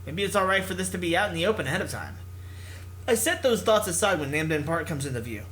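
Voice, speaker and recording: Bright, higher-pitched man reading aloud. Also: lively intonation, quick pace, rough recording. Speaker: let's play host reading a visual novel aloud. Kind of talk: expressive read-aloud narration with casual asides.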